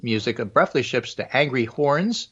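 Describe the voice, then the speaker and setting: A man's voice: mid-range voice, lively intonation, normal speed, a bit bright, slightly rough. A man reading news items aloud and commenting sardonically as a talk show co-host. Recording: dull lo-fi line.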